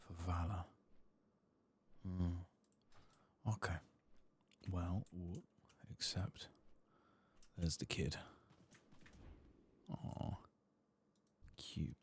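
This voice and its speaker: A man giving soft-spoken ASMR game commentary, sometimes dropping to a whisper, with a dry, self-deprecating way of murmuring to himself. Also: deep voice, fairly even intonation, drawn-out words, long pauses, husky. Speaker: host of a gaming ASMR channel.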